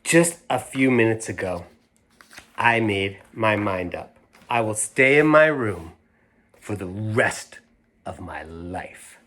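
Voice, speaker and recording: A man reading a storybook as an indignant, stubborn little girl, speaking emphatically and deliberately. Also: on the low side, expressive, fairly bright tone, heavily acted. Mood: stubborn, dramatic. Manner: emphatic, deliberate, self-righteous. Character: indignant, stubborn, dramatic little girl narrating her grievances.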